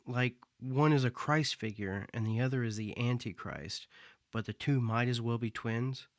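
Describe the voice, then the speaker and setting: An actor playing a magician who muses in a reflective, measured way. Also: male voice, medium pitch, no room sound, studio quality, close to an everyday voice. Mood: brooding. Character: brooding male magician, philosophical.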